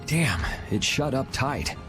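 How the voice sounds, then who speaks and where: A man's voice: mid-range voice, very animated, slow. An actor voicing a cool, blunt young swordsman in a video game, dry and laid-back. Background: game music.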